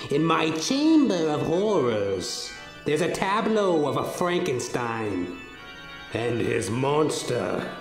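A deranged mad scientist, acted by a man, gloats obsessively in a horror audio play. His halting speech crawls along very slowly and swings widely in pitch, with music behind it. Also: echoey room, heavily acted. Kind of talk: horror audio drama acting.

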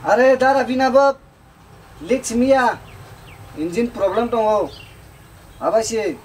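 A man's voice: very high-pitched, slow pace, slight background noise, low-quality recording. A young man with a Kokborok accent acting in an amateur short film, playing a villager who wants his motorbike fixed. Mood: excited. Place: outdoor roadside shop shelter.

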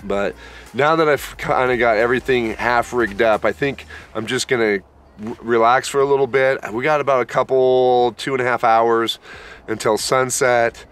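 A man in his thirties vlogging at an outdoor campsite, talking in a slow, worn-out, easygoing way after being sick. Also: medium pitch, steady tone, fairly bright tone, smooth. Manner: laid-back, tired, unhurried with many fillers. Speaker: camping vlogger. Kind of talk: casual camp vlog talk to camera. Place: outdoor pine forest campsite.

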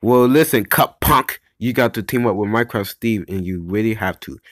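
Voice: medium pitch, moderate pace, natural voice. An amateur voice actor playing a casual, bossy, wisecracking video-game character in a homemade cartoon. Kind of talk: amateur animation voice acting.

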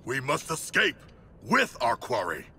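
An actor playing a hulking humanoid shark in a video game, hungry, literal-minded and formal in simple speech. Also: male voice, mid-range voice, wildly swooping pitch, unhurried, sharp tone, a little hiss or hum, full character voice. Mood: earnest. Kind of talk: video game character acting.

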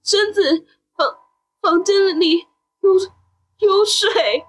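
A woman's voice: very high voice, extremely slow, exaggerated voice. A woman playing a curious, easily frightened young teenage boy in an audio drama. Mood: panicked. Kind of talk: Mandarin audio drama acting.

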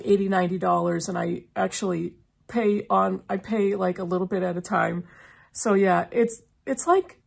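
Froggy, creaky-voiced middle-aged woman. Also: medium pitch. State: slightly froggy from a lingering cough. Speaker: book vlogger. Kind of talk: casual chat to camera. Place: small indoor room lined with bookshelves.

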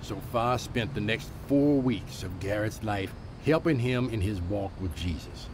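A man's voice: on the low side, expressive, unhurried, background noise. An actor playing an earnest man who lives among the homeless and tells a story in a radio drama. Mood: solemn storytelling. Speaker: storyteller and street preacher.

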